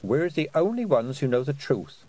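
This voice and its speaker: A man's voice: medium pitch, sing-song, normal speed, warm tone, pitched above the performer's own voice, poor audio. A man voicing a sensible, stern young girl who talks firmly, like a parent, in a storybook reading. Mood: calm, firm. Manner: firm, parental, clipped.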